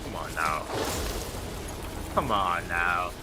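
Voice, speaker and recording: A man's voice: deep voice, expressive, takes it slowly, music in the background. A young man casually commenting over his gameplay, slangy and loose, talking half to himself. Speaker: gaming streamer. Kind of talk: casual let's-play commentary.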